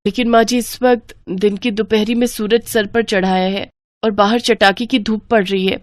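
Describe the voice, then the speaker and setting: A woman's voice: mid-range voice, fairly even intonation, normal speed, dry recording, close to an everyday voice. Woman playing a long-suffering young wife, meek, polite, pleading, storybook drama, dry recording. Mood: meek, explaining.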